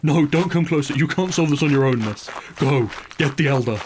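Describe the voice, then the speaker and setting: A man playing a wounded, frightened villager in an audio roleplay, pleading urgently and haltingly in a weak, pained, strained voice. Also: a little high, noisy background, voice pushed up, close to an everyday voice.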